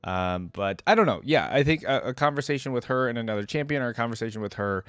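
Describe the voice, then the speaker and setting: A man's voice: mid-range voice, expressive, fast talker, a bit bright, clear, resonant tone, dry recording. A man hosting a reaction video with casual, rambling, conversational commentary.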